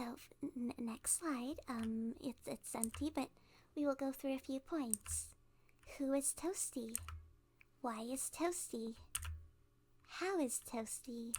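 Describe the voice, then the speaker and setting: A woman's voice: a little high, a little mellow. A woman playing a cute, nervous, obsessive young female kidnapper in an ASMR roleplay, stammering and giggly as she talks. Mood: hushed, proud.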